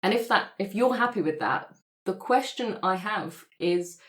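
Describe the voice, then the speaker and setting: Mid-pitched woman chatting on a podcast. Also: expressive, measured pace. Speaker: player on roleplay podcast. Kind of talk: casual chat, pitching story ideas.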